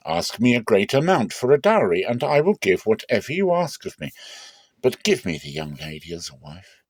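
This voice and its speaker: A man's voice: lowish voice, very animated, normal speed, breathy edge, no room sound. A man reading scripture dramatically as an earnest young suitor pleading for a bride.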